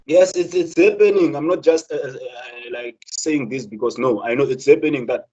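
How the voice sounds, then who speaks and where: Earnest South African man, husky voice. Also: fairly high pitch, moderate pace, a little mellow, rough recording. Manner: earnest, many repeated words and restarts. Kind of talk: impassioned spoken talk.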